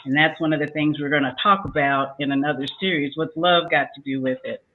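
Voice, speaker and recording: A woman's voice: sits low, fairly even intonation, a little fast. An older woman on a talk show panel giving casual advice and acting out both sides of a conversation as she tells a story. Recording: tinny phone-like sound.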